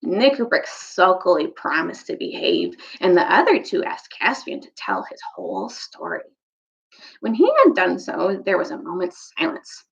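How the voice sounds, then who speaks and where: Female storytime reader. Very lively intonation, creaky edge to the voice, slightly dull recording. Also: on the low side, moderate pace. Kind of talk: expressive read-aloud storytelling. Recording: slightly dull, muffled audio.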